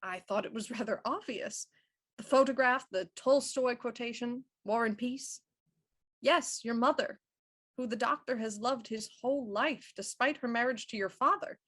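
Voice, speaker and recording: A woman playing a shrewd, self-assured Victorian police inspector, explaining deliberately and theatrically in a murder mystery audio drama. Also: a little high, a bit bright. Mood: confident deduction.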